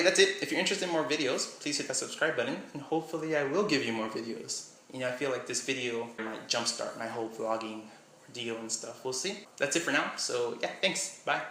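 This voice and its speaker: A young man with a slight Miami accent talks in a bright, forward voice. He rambles casually and trails off often. The room is echoey, with a faint hiss behind. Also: mid-range voice. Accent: slight Miami English. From Miami, USA. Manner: rambling, trails off with "I don't know". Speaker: vlogger. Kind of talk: casual vlog chat, short read-aloud passage.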